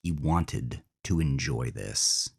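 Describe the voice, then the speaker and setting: A man with a low voice narrates scripted horror fiction. He takes it slowly and deliberately, with dramatic intensity, as the show's host. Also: animated, gravelly, breathy edge.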